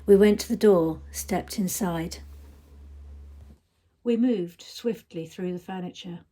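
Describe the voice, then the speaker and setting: Husky woman reading a story. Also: lowish voice, slow, dark tone. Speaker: story reader. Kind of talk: expressive audiobook-style narration.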